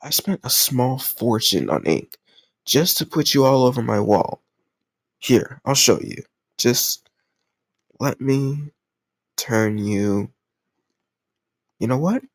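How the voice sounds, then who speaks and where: A man playing a sweet, lovesick, obsessive kidnapper in an intimate audio roleplay, speaking in an earnest, gently reassuring, awkwardly doting conversational way. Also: mid-range voice, lively intonation, takes it slowly, pauses often, dry recording. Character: sweet, lovesick, obsessive male kidnapper comforting a captive crush.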